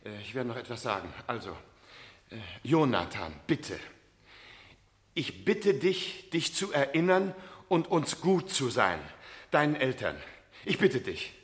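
A man's voice: medium pitch, big pitch swings, unhurried, pauses often. An actor playing an anxious, evasive middle-aged father in a 1970s radio play, hesitant, stammering and pleading. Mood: flustered, pleading.

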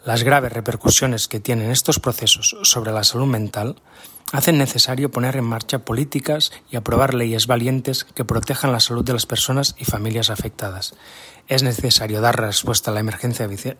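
Male reader, Spain accent, husky mid-range voice, measured and formal with scripted public-information copy. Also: mid-range voice, sharp tone. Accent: Spanish, from Spain. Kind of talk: scripted statement read aloud.

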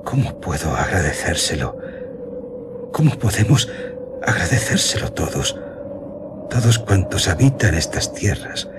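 An actor playing a haunted, vengeful general in a gothic radio drama, formal, declamatory and full of emotion. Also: male voice, deep voice, sing-song, a little slow, long pauses, loud music behind the voice. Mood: emotional gratitude.

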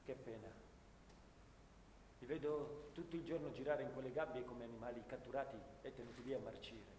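A man's voice: mid-range voice, fairly even intonation, brisk, lightly acted. Echoing haunted soldier, acted by a man in radio drama. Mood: weary, pitying. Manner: dramatic first-person storytelling, swinging from hushed to intense. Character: young male First World War soldier, haunted, bitter, anguished. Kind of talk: theatrical radio drama monologue. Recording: roomy, long reverb, clear background noise.

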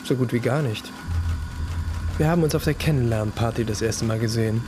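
An actor playing a defensive murder suspect in a radio crime drama. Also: male voice, medium pitch, a little fast, noisy background, natural voice.

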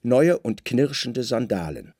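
A man narrating a literary radio play in a deliberate, dramatic way, with clipped phrases and pauses. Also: mid-range voice, very animated, warm tone, slightly rough, no echo, studio quality.

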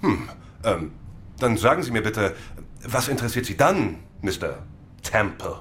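An actor playing a smooth-talking, vain, evasive sea captain in a radio crime drama, with a grandiloquent, hedging manner. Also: male voice, on the low side, big pitch swings, measured pace, a bit bright, a little hiss or hum. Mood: evasive, amused. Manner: grandiloquent, chuckling, hesitant hedging.

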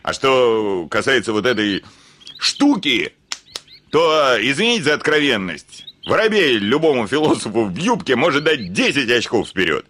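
An actor playing a hot-tempered, blustering landowner scornful of women in a theatrical, ranting classic Russian radio play style. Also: male voice, medium pitch, big pitch swings, moderate pace, slight background noise. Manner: theatrical, ranting, swinging from mutters to shouts. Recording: studio radio play with music behind.

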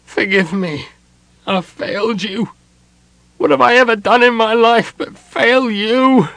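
Young male elf prince, voiced by a male narrator: anguished and imperious, raised pitch, big swings, dry studio sound. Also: big pitch swings, moderate pace, no room sound, poor audio. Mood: anguished, despairing. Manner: impassioned, urgent, commanding.

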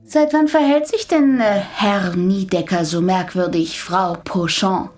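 An actress playing a brisk, probing female psychiatrist with a matter-of-fact questioning manner in a German radio play. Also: medium pitch, a little mellow, lightly acted.

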